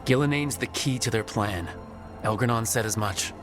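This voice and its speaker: A man's voice: fairly low pitch, normal speed, over music, lightly acted. A man playing a determined, decisive team leader in a fantasy video game. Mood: focused.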